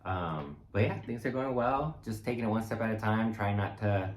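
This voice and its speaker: A man co-hosting a travel vlog, chatting casually in a relaxed, halting way with many fillers. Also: lowish voice. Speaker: vlog co-host. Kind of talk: casual chat. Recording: echoey room with faint noise.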